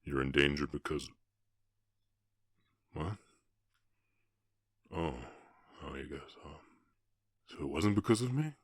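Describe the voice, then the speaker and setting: A man voicing a fierce but tenderly protective orc cowboy in an ASMR roleplay, speaking in terse, halting, earnest phrases. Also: rumbling low, lively intonation, no echo, studio quality, full character voice. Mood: tender, flustered.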